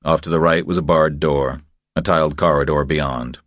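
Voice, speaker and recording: A man's voice: rumbling low, fairly even intonation, normal speed, a bit bright, dry recording. A man reading scripted crime fiction as an audiobook narrator, close on the microphone.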